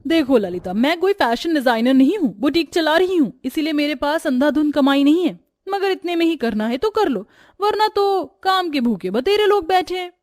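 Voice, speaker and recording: Woman as high-voiced, stingy tailor-shop owner. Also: lively intonation, moderate pace, darkish tone, no room sound, studio quality. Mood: dismissive, stingy.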